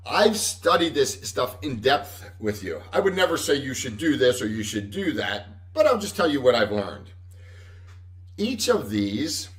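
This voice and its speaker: A man hosting a casual livestream chat, rambling and correcting himself with frequent chuckles, with a loud voice. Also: medium pitch, recorded from a distance. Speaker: host. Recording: echoey room, voice from afar.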